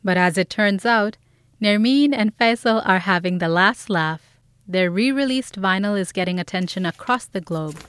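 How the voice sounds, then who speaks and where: A woman's voice: medium pitch, fairly bright tone, a little hiss or hum. A woman reading scripted narration for an audio documentary as its host.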